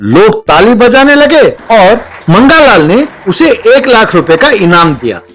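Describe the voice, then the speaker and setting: High-pitched male story narrator. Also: very animated, takes it slowly, a bit bright, soft background music. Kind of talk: scripted comedy story narration.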